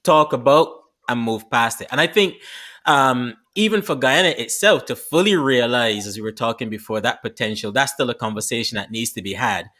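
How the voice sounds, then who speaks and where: A man hosting a casual panel talks in a reflective, measured way, with his pitch sitting mid-range. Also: animated, sharp tone.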